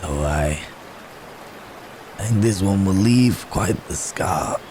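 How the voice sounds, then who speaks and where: A man playing a wounded, gentle cursed werewolf in an ASMR roleplay, halting and hesitant, with pained sighs and hums. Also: deep voice, animated, slight background noise. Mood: strained, halting. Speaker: audio roleplay performer.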